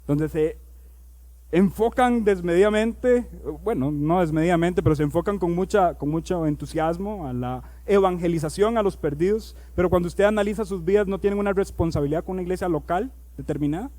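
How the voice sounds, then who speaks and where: A male pastor preaching a sermon. He reads scripture aloud, slows down on key words, and builds to loud, emphatic preaching. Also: sits high.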